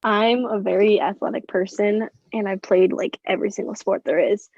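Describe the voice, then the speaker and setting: A woman's voice: mid-range voice, fairly even intonation, normal speed, a little mellow, no room sound. A teenage girl from Minnesota on a panel, talking casually with many fillers. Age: teens. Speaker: panel guest. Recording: dull lo-fi sound.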